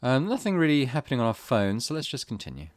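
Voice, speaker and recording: A man's voice: medium pitch, very animated, fast, no room sound. A man giving casual let's-play commentary as a gaming host, thinking aloud and reading on-screen text out.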